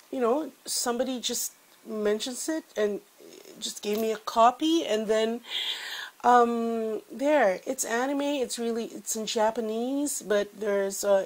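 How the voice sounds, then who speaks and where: A woman's voice: medium pitch, poor audio. A woman with a congested voice, giving a chatty weekly tarot reading with many fillers. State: congested from a cold. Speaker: tarot reader.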